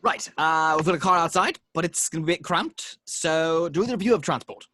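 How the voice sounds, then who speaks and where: A man's voice: a little high, fairly quick, pushed and bright, no echo. A man playing a flustered, well-meaning young man trying to lead, in an amateur table read. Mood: flustered, brisk.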